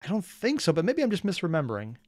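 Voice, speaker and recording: A man with a clear, smooth voice giving casual, musing off-the-cuff commentary as a reaction host, close to the mic. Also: high-pitched, wildly swooping pitch, fast, darkish tone. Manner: musing, thinking aloud, questioning.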